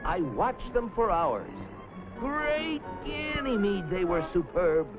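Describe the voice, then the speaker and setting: A man voicing an eager, boastful, fawning Martian space explorer who makes a grandiose speech in a 1950s animated cartoon. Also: high-pitched, slow pace, loud music behind the voice, full character voice. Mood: boastful, excited. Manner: earnest, grandiose, speech-making with flustered hesitations. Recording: muffled 1950s film soundtrack.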